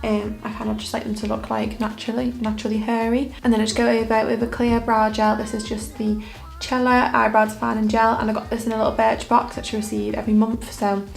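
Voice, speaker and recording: Croaky, flat-voiced young woman vlogger. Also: fairly high pitch, fast talker. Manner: monotone, relaxed delivery with frequent fillers. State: croaky, hoarse voice after illness. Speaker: makeup artist and beauty vlogger. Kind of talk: casual makeup tutorial.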